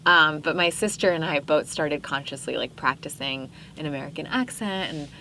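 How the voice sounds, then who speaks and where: A young woman with a slightly low voice tells stories from her youth in a loose, chatty way, with lots of "like" and "um". She sounds animated when she gets going. A faint hiss of noise sits under the recording. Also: normal speed, rough recording. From Pakistan. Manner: chatty, animated storytelling with frequent fillers. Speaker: podcast guest. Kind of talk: casual interview chat.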